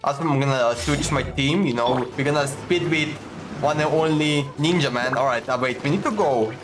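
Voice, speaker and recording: A woman's voice: a bass voice, brisk, noisy background. A woman doing casual, offhand gameplay commentary as she reacts to the game. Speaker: game streamer.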